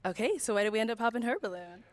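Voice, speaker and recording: A woman hosting a dating show, moving things along with brisk, direct questions. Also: on the high side, expressive.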